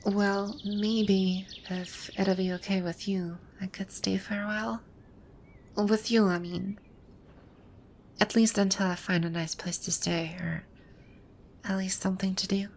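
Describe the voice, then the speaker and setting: A woman playing a proud, teasing video game villainess come to life, speaking softly in an intimate ASMR roleplay. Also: medium pitch, flat delivery, unhurried, a little hiss or hum. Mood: shy, vulnerable. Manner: smug, teasing, soft-spoken with breathy asides. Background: birdsong and outdoor ambience sound effects.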